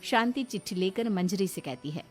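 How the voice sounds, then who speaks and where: A woman narrating an animated moral story in an expressive storytelling style. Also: mid-range voice, moderate pace, faint music behind.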